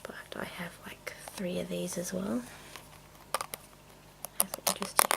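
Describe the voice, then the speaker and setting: A woman chatting casually in a soft, mostly whispered ASMR style, rambling along, with tapping and clicking from small containers. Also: lowish voice, little pitch movement, unhurried, vocal fry, poor audio. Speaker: video creator and host. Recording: noticeable noise, clicking sounds. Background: tapping and clicking of makeup containers.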